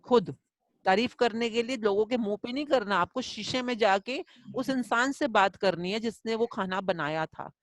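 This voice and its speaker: A woman's voice: a little high, moderate pace, no echo. A middle-aged woman teaching a gratitude course over a video call, mixing Hindi and English and acting out everyday examples as she answers a student. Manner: mixes Hindi and English, answers with examples and lively acted quotes. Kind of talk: live online class, coaching a student.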